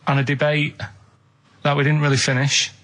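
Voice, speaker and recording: A man with a northern English Manchester accent chatting casually on radio in a deadpan, stubborn, rambling way. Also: fairly high pitch, moderate pace. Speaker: radio show co-host.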